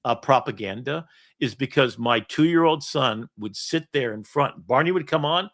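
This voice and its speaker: Animated, rambling middle-aged man. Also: mid-range voice. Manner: storytelling, animated, laughs often. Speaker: podcast host. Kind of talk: casual rambling chat.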